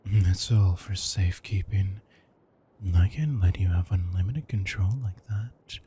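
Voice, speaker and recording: A man playing a retired supervillain turned caring, thrifty house husband in an intimate audio roleplay, smug, dry and affectionate, with a husky, gravelly voice. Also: deep voice, unhurried, mellow, a little hiss or hum. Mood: teasing, stern.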